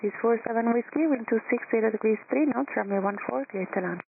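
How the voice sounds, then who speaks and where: A woman working as an airport tower controller, giving clipped, courteous clearances in standard phraseology over the radio. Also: fairly high pitch. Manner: brisk, procedural, courteous. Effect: radio transmission. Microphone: air traffic radio. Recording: tinny narrowband radio with faint static.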